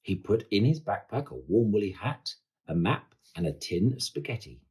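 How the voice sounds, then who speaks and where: A man reading a children's picture book aloud with dramatic, expressive delivery. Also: lowish voice, normal speed, a little hiss or hum. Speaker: storyteller.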